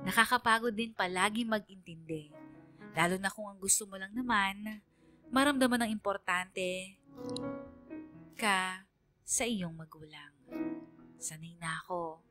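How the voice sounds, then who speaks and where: A woman's voice: lowish voice, pushed and bright. A woman playing a lonely, wistful female student, narrating a radio drama letter in a plaintive, confiding way. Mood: resigned, sad.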